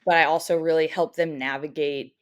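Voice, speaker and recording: A young American woman raised in the Midwest, a softball coach talking casually and cheerfully as a podcast guest, with frequent "um" fillers. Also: low-pitched, fairly even intonation, a little fast. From United States (grew up in St. Louis, Missouri). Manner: friendly, upbeat, frequent "um" fillers. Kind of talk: casual interview chat.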